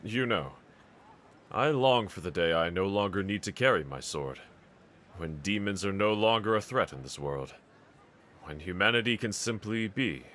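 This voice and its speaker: A man playing a brave, tender young swordsman in an anime audio roleplay, speaking gently and earnestly to a companion in formal phrasing. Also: sits low, animated, moderate pace, slight background noise. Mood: wistful, earnest.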